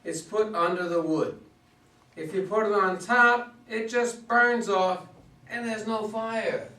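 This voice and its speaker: A man in a stage play reading, playing a sardonic, condescending police detective who speaks deliberately. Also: sits high, pushed and bright, full character voice. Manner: deliberate, sarcastic. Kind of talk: stage play read aloud by readers' theater. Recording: echoey room, distant mic.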